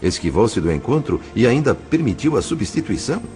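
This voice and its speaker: A Brazilian man playing a grave spirit narrator in an audio novel, telling a tragic story in a solemn, literary, measured way. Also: medium pitch, very animated, darkish tone, soft background music, lightly acted. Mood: solemn, dramatic. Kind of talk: dramatised scripted narration.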